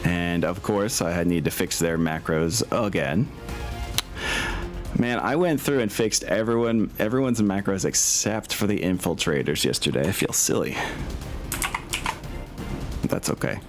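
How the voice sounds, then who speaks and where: A very low-voiced man runs a tabletop game as game master. He talks casually and hesitantly, thinking aloud between bits of narration, with music playing behind him. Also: expressive, unhurried, long pauses.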